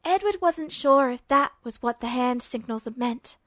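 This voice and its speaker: High-voiced woman narrating a story. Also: expressive, normal speed, fairly bright tone, dry recording, clean studio sound. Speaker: fan fiction narrator.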